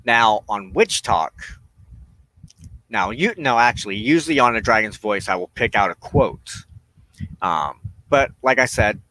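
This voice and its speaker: A man hosting a personal spiritual talk, speaking in deliberate, halting phrases with firm emphasis. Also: lowish voice, lively intonation, bright, forceful tone, no room sound, low-quality recording. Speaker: host of a weekly witchcraft broadcast.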